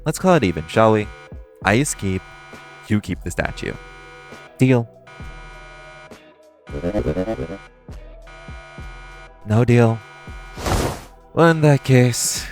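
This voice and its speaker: A man playing a flirty, cocky supervillain thief in an audio roleplay, teasing the listener with mock politeness. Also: lowish voice. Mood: playfully bargaining. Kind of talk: theatrical audio roleplay, one-sided dialogue to the listener.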